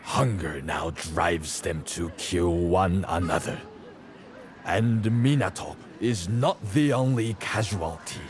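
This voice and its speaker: An actor playing a solemn, brooding male mystic who speaks gravely in a fantasy video game. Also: low-pitched, slow pace, a little hiss or hum. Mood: grave, ominous. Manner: grave, portentous. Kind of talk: video game cutscene acting.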